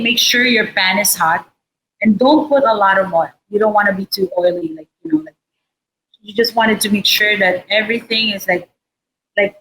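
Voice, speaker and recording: Filipino lady chef explaining cooking casually, kinda halting, some noise behind her. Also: mid-range voice, fairly bright tone, low-quality recording. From Philippines. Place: indoor restaurant space behind a counter. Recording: noticeable background noise and room ambience.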